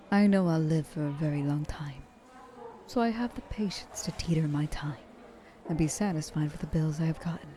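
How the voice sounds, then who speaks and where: A woman acting as an ancient, wealthy female vampire in an ASMR roleplay. Her voice is low and soft-edged, very mellow, as she coaxes the listener like a flirtatious, patronizing mentor and often slips toward a whisper. Noticeable noise sits behind her. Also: natural voice. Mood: self-assured. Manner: coaxing, intimate, dropping often into breathy whispers.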